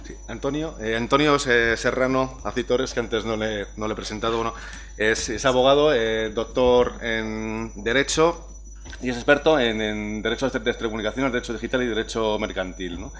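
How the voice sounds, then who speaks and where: A man moderating a forum panel who introduces the next speaker in a hesitant, halting way. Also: medium pitch, a bit bright.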